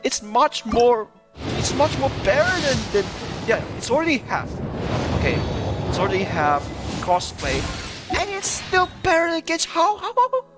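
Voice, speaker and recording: A man giving casual live gaming commentary in a halting, repetitive way, often breaking into chuckles. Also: very high-pitched, big pitch swings. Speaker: game streamer. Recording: loud music under the voice.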